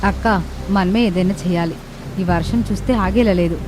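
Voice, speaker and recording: A woman's voice: mid-range voice, normal speed, close to an everyday voice. Earnest young village sister, acted by a woman, over music. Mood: concerned, determined. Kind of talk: animated moral story dialogue. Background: background music.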